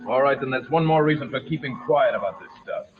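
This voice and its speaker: A man's voice: medium pitch, fast talker, natural voice. Man as cynical crook on tinny old radio. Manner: terse, cynical. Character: cynical, crooked male smuggler accomplice. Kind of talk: 1940s radio acting. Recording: thin old radio, faint noise.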